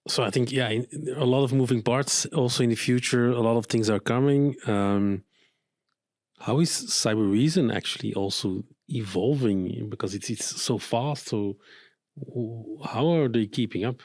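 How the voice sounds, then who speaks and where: A man hosting a podcast interview, speaking hesitantly with frequent pauses and restarts. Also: mid-range voice, a little mellow, no echo, clean studio sound. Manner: hesitant, many uh fillers and restarts. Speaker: podcast host.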